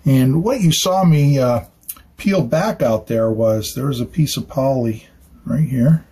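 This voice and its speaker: Husky-voiced man chatting through a building detail. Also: mid-range voice, measured pace, mellow. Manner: chatty and informal, thinking aloud with fillers and tag questions. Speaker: architect explaining building details. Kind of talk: casual technical explainer. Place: small studio room with dark curtains.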